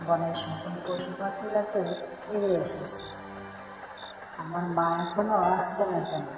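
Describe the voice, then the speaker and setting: A woman's voice: lowish voice, fairly even intonation, measured pace, close to an everyday voice. A woman voicing a sad little orphaned girl bird in a children's cartoon, plaintive and pleading. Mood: worried, pleading. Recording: very echoey long reverb, music behind.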